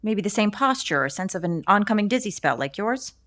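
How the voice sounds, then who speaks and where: A woman's voice: medium pitch, expressive, brisk, a bit bright, natural voice. A woman playing a matter-of-fact police detective in a naturalistic audio drama, asking direct, clipped, probing questions.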